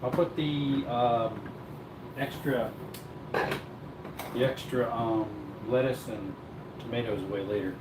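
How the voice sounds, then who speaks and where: A man's voice: mid-range voice, fairly even intonation, slow pace, pauses often, noisy background. A man casually chatting to viewers while cooking at home, rambling in an easygoing way. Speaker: cooking livestream host. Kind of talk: casual live-stream chat while cooking.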